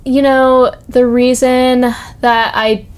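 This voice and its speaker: A woman's voice: sits high, level tone, slow pace, clean tone. A young adult woman chatting casually on a podcast, informal and reflective, feeling her way through personal stories.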